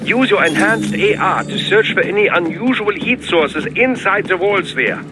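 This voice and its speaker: Fussy german scientist from a game, high voice, eager n theatrical, loud music behind. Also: male voice, animated, normal speed. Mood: explanatory. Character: excitable, fussy European male scientist mission adviser. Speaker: mission support over radio. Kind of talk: video game voice acting.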